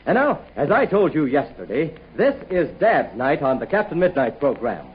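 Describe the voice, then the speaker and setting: A male 1940s radio announcer with a high, husky voice. His delivery is hearty, persuasive and very animated, reading scripted pitches and narration. The recording is slightly muffled. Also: normal speed, a little hiss or hum. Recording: muffled 1940 radio broadcast.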